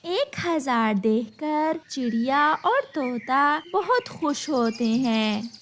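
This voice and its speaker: Slow high-voiced woman narrating a story. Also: expressive, a bit bright, slight background noise.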